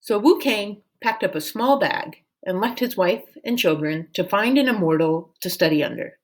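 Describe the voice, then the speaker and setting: A woman narrating a storybook as an expressive read-aloud. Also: medium pitch, moderate pace. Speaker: storyteller reading a book aloud.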